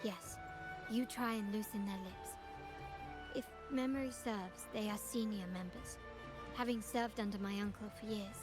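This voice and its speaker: A woman playing a composed, shrewd young noblewoman of a crime family in a video game, speaking in a measured, formal way. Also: medium pitch, over music, natural voice. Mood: cool, instructive.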